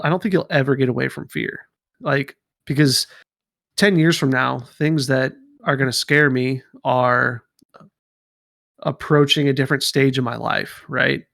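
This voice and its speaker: A man in his thirties with a gravelly, fry-edged voice rambles through a casual podcast chat, stacking fillers and restarting his sentences. He sits close to a dry mic, at an ordinary middle pitch. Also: fairly bright tone, dry recording. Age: 30s. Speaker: podcast co-host.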